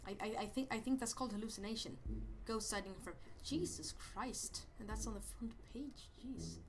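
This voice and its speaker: A man's voice: high-pitched, moderate pace, a little hiss or hum. A man with a Swedish accent voicing a goofy, rambling persona who stammers through a video game commentary and yelps when startled. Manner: rambling, hesitant, jumpy exclamations. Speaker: let's play host. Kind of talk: let's play commentary. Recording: game music loud behind voice.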